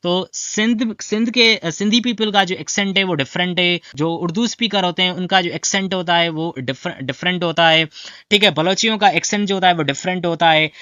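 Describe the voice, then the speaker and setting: A man's voice: high voice, brisk, bright, forceful tone, clear, resonant tone, no room sound, lo-fi sound. A man from Pakistan, teaching English in a lecture style, mixing languages and often checking in with his listeners. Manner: mixes Hindi-Urdu and English, frequent fillers and "theek hai?" check-ins.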